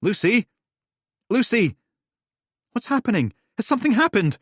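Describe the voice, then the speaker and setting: A man's voice: high-pitched, big pitch swings, normal speed, breathy edge, no room sound, clean studio sound, pitched above the performer's own voice, heavily acted. A man playing an exasperated divorced dad. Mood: alarmed. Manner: exasperated, pleading. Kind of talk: audiobook character voice.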